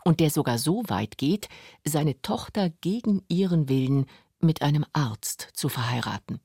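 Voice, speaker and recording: A man's voice: on the high side, expressive, a little slow, vocal fry, darkish tone, husky, dry recording, studio quality. A man reading scripted documentary narration for a radio feature. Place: radio studio.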